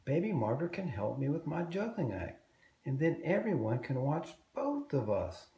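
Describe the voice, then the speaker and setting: Man as a young boy tiger, storybook character, raised pitch, excitable, earnest, childlike, exclaiming. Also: normal speed, reverberant. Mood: excited. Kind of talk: children's storybook read-aloud.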